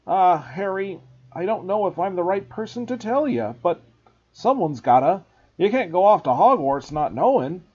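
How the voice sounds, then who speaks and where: A man voicing a huge, rough-spoken, kindly giant with a loud, gruff, folksy way of talking. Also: high-pitched, sing-song, normal speed, pitched above the performer's own voice, heavily acted. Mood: worried. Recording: dull lo-fi recording.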